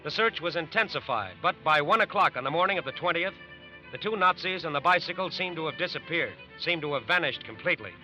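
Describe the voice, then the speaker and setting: A fairly high, very bright and forceful American male narrator, grave and deliberate. He tells an old-time radio crime story over faint music. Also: muffled recording. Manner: deliberate, emphatic repetition for suspense.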